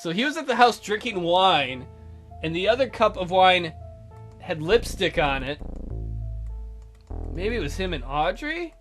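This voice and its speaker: A gaming host with lively, swinging intonation gives casual let's play commentary. Game text gets read aloud along the way, with music playing behind. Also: medium pitch, unhurried, long pauses.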